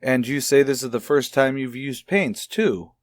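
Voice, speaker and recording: An actor playing a kindly child psychologist in a mid-century radio soap opera, speaking in a measured, coaxing way. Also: male voice, mid-range voice, no room sound, lightly acted. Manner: measured, coaxing, gently probing. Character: kindly, probing male child psychologist, a father. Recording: vintage radio recording with music.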